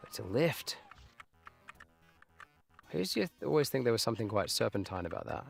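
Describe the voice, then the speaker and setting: A man's voice: medium pitch, very animated, a little fast, creaky, faint music behind. A man in his late thirties chatting casually while he plays a game live, reminiscing and trailing off into muttered asides. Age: 30s. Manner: nostalgic, rambling, with muttered asides. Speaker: livestreamer playing games. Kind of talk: casual live gameplay commentary.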